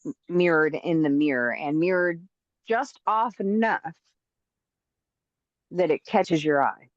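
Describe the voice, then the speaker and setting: A woman co-hosting a casual podcast chat, talking in an animated, emphatic way and getting motivational. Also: lowish voice, slow pace, no echo, lo-fi sound. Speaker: podcast co-host.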